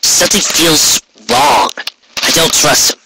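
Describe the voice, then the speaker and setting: A man's voice: a little high, slow, pitched above the performer's own voice. A man voicing a brash, distrustful young female pegasus pony in a storybook reading, blunt and challenging, on a noisy recording. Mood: uneasy, urgent. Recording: clearly noisy amateur recording with clicks.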